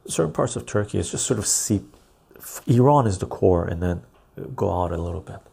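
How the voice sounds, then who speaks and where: A man's voice: deep voice, animated, moderate pace, gravelly, warm tone, slightly rough. A man whose first language is Armenian, hosting a casual livestream, reading viewer comments aloud with frequent chuckles and rambling asides. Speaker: livestream host. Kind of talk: casual live-stream chat.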